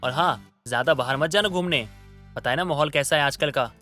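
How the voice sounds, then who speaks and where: A man playing a placating, smooth-talking young husband in a story drama. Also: on the high side, a little fast, sharp tone, faint music behind. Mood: reassuring. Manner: coaxing, placating. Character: smooth-talking, two-timing young husband full of excuses. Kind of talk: Hindi moral-story audio drama acting.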